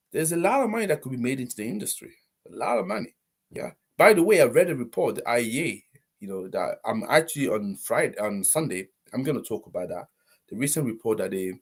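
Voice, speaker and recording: A man hosting a live stream, talking casually and warmly to viewers, rambling with many fillers and restarts. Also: on the low side. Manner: friendly, encouraging, addresses viewers directly. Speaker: host of a live business stream.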